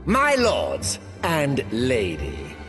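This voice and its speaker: An actor playing a sly, pompous wealthy lord in a fantasy video game, with drawn-out, theatrical oratory and archaic phrasing. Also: male voice, medium pitch, wildly swooping pitch, fairly bright tone, exaggerated voice. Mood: sly, teasing. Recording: long hall reverb, faint music behind.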